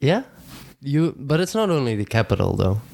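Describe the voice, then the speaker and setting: A man's voice: mid-range voice, sing-song, normal speed, gravelly, a little mellow, a little hiss or hum. A Dutch man in his twenties with a southern Dutch accent, a guest chatting casually in a podcast interview and rambling through fillers and restarts. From Netherlands. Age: 20s.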